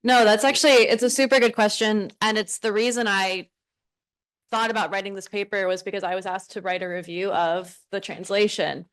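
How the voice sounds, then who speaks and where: American academic woman, panel Q&A, thinks aloud with fillers, mid pitch. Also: fairly even intonation, fairly quick. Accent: American English. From United States. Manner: thoughtful, frequent fillers like "like" and "right". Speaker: conference panelist. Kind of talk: answering audience question at academic conference. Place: conference room.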